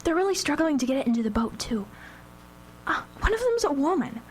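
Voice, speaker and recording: A bold older sister, animated, noisy recording. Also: female voice, high-pitched, brisk. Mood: determined, hushed. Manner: assertive, urgent, impatient. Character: bold, bossy, protective older sister, a curious girl. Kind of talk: live radio drama acting. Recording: noisy live radio broadcast, background sound effects.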